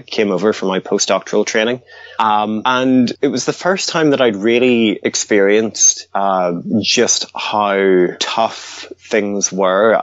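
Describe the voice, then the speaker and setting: A man in his thirties with a very low voice answers an interviewer's questions, pausing often with ums and starting sentences over. The sound is dry and slightly muffled. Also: no room sound. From Northern Ireland. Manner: hesitant, many ums and restarts. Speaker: guest scientist.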